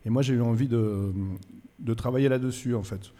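A man's voice: medium pitch, fairly quick, mellow, long reverb tail. A man from France, a musician guest on a live radio show at a cultural centre, telling his story thoughtfully and searching for words. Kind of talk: reflective interview storytelling.

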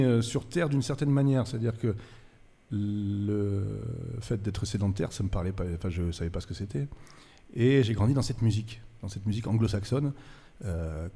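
A reflective, low-voiced male musician. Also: mellow, big-room sound. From France. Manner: thoughtful, searching, with fillers. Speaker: musician guest. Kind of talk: reflective interview storytelling. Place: cultural centre venue.

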